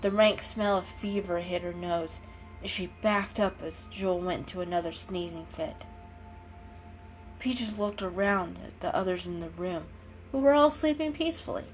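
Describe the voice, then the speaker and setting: A woman's voice: on the low side, moderate pace, low-quality recording. Woman storyteller reading over music. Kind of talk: dramatic reading of a children's animal story. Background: background music.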